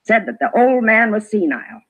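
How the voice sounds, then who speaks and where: An actress playing a prickly, self-important neighborhood gossip in a 1950s radio drama, huffy and argumentative in clipped, emphatic phrases. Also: female voice, a little high, animated, normal speed, a bit bright, husky, heavily acted. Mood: gossipy, indignant. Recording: dull vintage radio recording.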